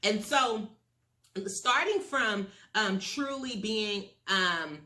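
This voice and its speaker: Woman, earnest motivational speaker, emphatic, repeats key phrases, medium pitch, roomy echo. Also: lively intonation, extremely slow, bright, forceful tone. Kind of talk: motivational monologue.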